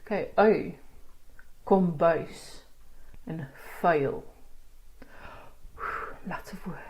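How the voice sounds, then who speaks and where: A woman with a South African accent, teaching pronunciation in a casual spoken lesson and sounding out words slowly. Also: fairly low pitch, a little mellow, poor audio. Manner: demonstrates sounds slowly, laughs at herself. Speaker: language teacher.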